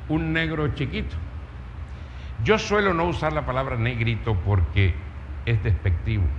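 Emphatic Caribbean Colombian man in a hall. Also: on the low side. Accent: Colombian Caribbean coast. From Caribbean coast of Colombia. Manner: rhetorical, emphatic, with lists and questions. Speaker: speaker, writer. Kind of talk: storytelling public talk. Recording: dull, reverberant hall with faint noise.

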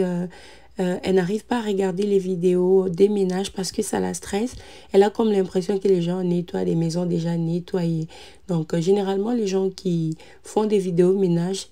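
A woman in her thirties, a mother, narrating a cleaning vlog in a calm, relaxed voice-over with frequent hesitant fillers. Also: on the low side. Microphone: voice-over recorded separately.

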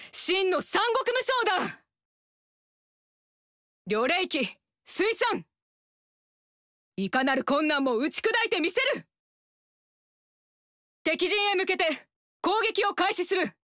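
Proud young game warrior woman; high, slow and commanding, dry studio. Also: fairly bright tone, no echo, exaggerated voice. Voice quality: firm, commanding. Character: proud young female warrior, a warlord's daughter. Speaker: game character. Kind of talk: game voice lines.

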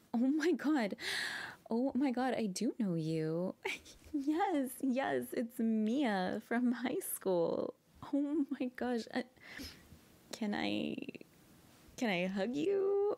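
A woman's voice: a little high, warm tone, full character voice. A young woman playing a shy, flustered woman reuniting with an old flame in an intimate, hesitant, giggly ASMR roleplay. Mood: excited, flustered. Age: young adult. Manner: hesitant, giggly, often breathy asides. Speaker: girlfriend roleplay audio performer.

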